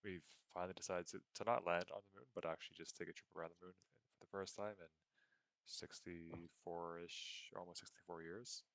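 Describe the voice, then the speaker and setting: A young man co-hosting a casual podcast, easygoing and quick to laugh. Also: sits low, fairly even intonation, fairly bright tone, no echo, rough recording. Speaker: podcast co-host. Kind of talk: casual chat.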